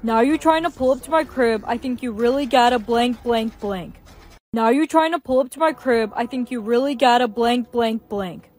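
A high-pitched woman playing a touchy young lad, easily flustered. The delivery is whiny and shouty, pushed for broad skit comedy. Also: moderate pace, lightly acted. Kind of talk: exaggerated comedy skit acting.

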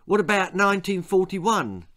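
A man's voice: sits high, lively intonation, moderate pace, a bit bright, pitched above the performer's own voice. A man voicing an eager, sceptical young schoolboy runner in a storybook, questioning and indignant. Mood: complaining.

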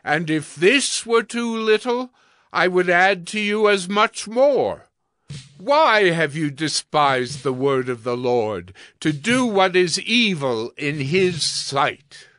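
A man playing a stern, solemn prophet who declaims God's judgment deliberately in an audio Bible drama. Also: high-pitched, sing-song, fairly bright tone, no room sound, exaggerated voice. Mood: stern, grave.

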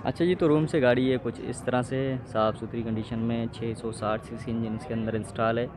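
A man's voice: medium pitch, fairly even intonation. Man touring a car bazaar. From Pakistan. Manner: brisk salesy patter, questioning sellers. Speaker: car market vlogger. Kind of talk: walk-around car review vlog. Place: outdoor car market. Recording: outdoor street noise.

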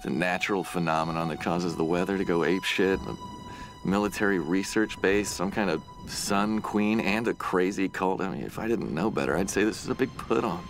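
A man's voice: low voice, normal speed, faint music behind. An actor playing a nervous, wisecracking, self-doubting young man in a casual game monologue. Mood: uneasy joking.

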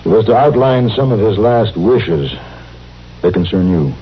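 An actor playing a courteous, businesslike city doctor, speaking in a measured, formal way in a 1950s radio western. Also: male voice, on the low side, animated. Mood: earnest, persuasive. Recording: muffled, hissy old radio recording.

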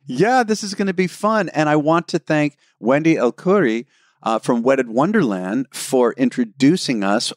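A man's voice: mid-range voice, no echo, clean studio sound. A man hosting a podcast interview, reacting with enthusiasm and curiosity to his guest. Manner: enthusiastic, quick to react with "wow". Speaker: podcast host. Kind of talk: interview conversation.

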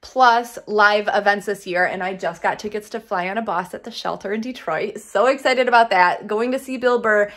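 A woman's voice: medium pitch, moderate pace, few pauses, a bit bright. Chatty upbeat woman in an echoey room. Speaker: lifestyle vlogger. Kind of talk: casual sponsored vlog chat. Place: home, dining table then rooms.

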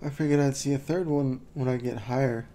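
A man doing casual let's play commentary into a desk mic, thinking aloud with a throat that keeps needing clearing. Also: medium pitch, steady tone, a little fast, mellow. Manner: laid-back, thinking aloud. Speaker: gaming youtuber.

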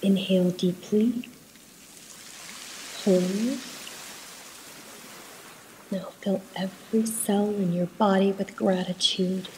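A woman leading a guided meditation in a soft, at times breathy voice, soothing and unhurried, with pauses between short instructions. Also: mid-range voice, fairly even intonation, slight background noise, rough recording.

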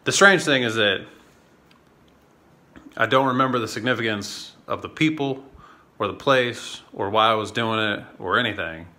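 A man's voice: on the low side, moderate pace, pauses often, bright, forceful tone. A man in his thirties vlogging casually into a handheld phone, rambling with lots of fillers and swearing. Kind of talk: casual vlog chat. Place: indoors at home, then outdoors on city streets and a trail. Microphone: phone camera held close at arm's length.

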